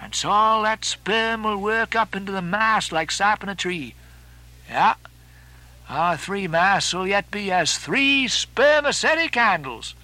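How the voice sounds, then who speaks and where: A man voicing a hearty, blustering sailor in an audiobook, loud and emphatic. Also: sits high, lively intonation, measured pace, no echo, voice pushed up, exaggerated voice. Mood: stubborn optimism. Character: hearty, blustering, superstitiously cheerful sailor, second mate. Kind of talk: audiobook character acting.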